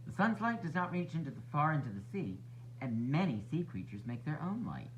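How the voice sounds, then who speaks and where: A man narrating a children's storybook as a teacher would, expressive and instructive for young listeners. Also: on the high side, fairly quick, highs cut off, a little hiss or hum. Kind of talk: children's read-aloud narration.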